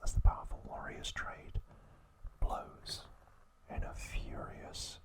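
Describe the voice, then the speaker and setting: Male ASMR host, whispered voice, unhurried delivery, short phrases, frequent pauses. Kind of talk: ASMR, whispered trivia talk and reading aloud.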